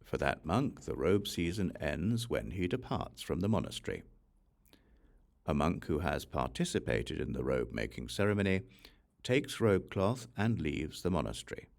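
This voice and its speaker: Male audiobook reader for scripture: low, creaky voice, varied intonation, deliberate scripted delivery. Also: very animated. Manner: measured, deliberate reading. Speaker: audiobook narrator. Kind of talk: scripted narration of a religious text.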